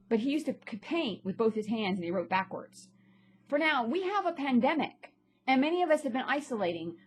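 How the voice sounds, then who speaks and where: A woman's voice: a little high, slightly rough. A woman talking bluntly and indignantly in a personal vlog, sounding a little stuffy from a sinus infection. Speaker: vlogger and actress. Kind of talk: ranting personal vlog monologue.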